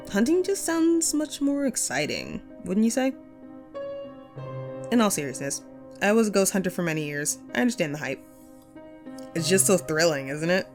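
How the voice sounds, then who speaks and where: A woman performs an upbeat female ghost, once a radio host and ghost hunter, in an intimate one-sided audio roleplay. Her medium-pitched voice moves around in a very animated way, playful and talkative with musing pauses. Soft music sits behind her. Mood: playful, teasing, then wistful, chatty.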